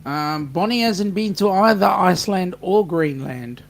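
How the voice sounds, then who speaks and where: A man's voice: high voice, measured pace, gravelly. Chatty Australian man reading comments. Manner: chatty, reads out comments with many ums and restarts. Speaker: co-host. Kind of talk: casual live chat reading viewer comments.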